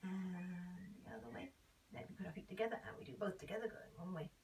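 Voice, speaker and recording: Hoarse woman with a cold, cueing exercises. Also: fairly low pitch, fairly quick, a little hiss or hum. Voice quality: hoarse, often whispery. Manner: calm step-by-step cueing, counting beats. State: has a cold, voice nearly gone. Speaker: belly dance teacher. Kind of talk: instructing an exercise warm-up. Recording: voice a bit far from the mic.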